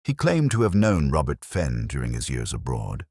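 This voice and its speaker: A man playing a weary, brooding police inspector who narrates a mystery in a measured, literary, melancholy manner. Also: rumbling low, wildly swooping pitch, a little mellow, slightly rough, no room sound, studio quality, full character voice. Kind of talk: scripted first-person mystery narration.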